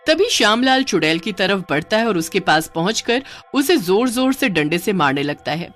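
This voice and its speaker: Lively woman narrating a tale. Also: mid-range voice, moderate pace, faint music behind. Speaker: story narrator. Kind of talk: expressive scripted storytelling narration.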